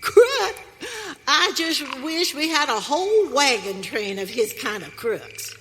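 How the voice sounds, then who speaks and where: Actress doing feisty american pioneer wife, folksy western radio play, slow n high, echoey hall. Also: female voice, lively intonation, a bit bright, heavily acted. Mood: surprised. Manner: folksy frontier dialect, emphatic, drawn-out delivery. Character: Maggie, feisty pioneer wife on a wagon train. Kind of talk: live western radio theater acting. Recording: hall reverb, faint noise.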